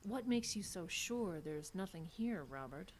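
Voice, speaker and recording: Seductive vampire woman, languid slow delivery, lively pitch, soft, teasing, eerie, horror audio drama. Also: slight background noise. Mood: quiet menace. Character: seductive, eerie female vampire, coolly mocking.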